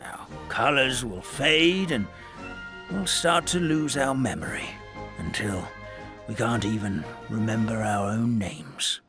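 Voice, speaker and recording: An actor playing an old, forgetful paper creature who acts as a gentle, fretful elder, rambling in a dramatic grandfatherly way. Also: mid-range voice, expressive, slow pace, music in the background. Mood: worried storytelling. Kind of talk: video game dub acting.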